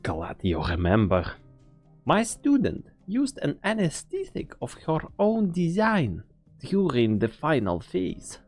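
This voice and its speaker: A woman's voice: low-pitched, huge pitch swings, slow, a little mellow, faint music behind, heavily acted. A woman playing a sly, taunting, coldly amused scientist in an English fan dub, with a teasing, theatrical delivery.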